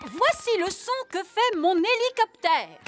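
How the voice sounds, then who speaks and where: Brisk, eager rabbit aviatrix for a kids' cartoon; very high, animated. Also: female voice, over music, heavily acted. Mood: cheerful. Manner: eager, can-do. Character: brisk, cheerful lady rabbit helicopter pilot. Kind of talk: French children's cartoon dub.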